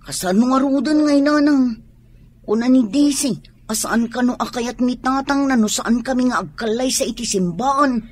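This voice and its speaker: A woman's voice: a little high, moderate pace, close to an everyday voice. A woman acting an earnest, plaintive tomboy sweetheart in a radio drama. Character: lovestruck tomboy sweetheart, earnest, reluctant to wear a gown.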